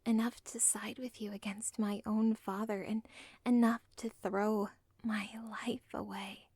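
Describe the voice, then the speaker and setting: Breathy whispering villain's daughter, acted by a woman. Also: mid-range voice, little pitch movement, a little mellow, no echo. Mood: tender confession. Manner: halting, deliberate, intimate. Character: villain's lovestruck, self-aware yandere daughter, nervous but devoted. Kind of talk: whispered ASMR audio roleplay.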